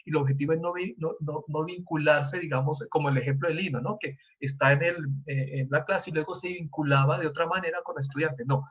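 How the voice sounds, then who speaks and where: A man with a husky, slightly high voice explains ideas as a priest on a panel. He is emphatic, repeating phrases and checking in with short questions. The sound is a little dull. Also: steady tone, fairly quick, few pauses.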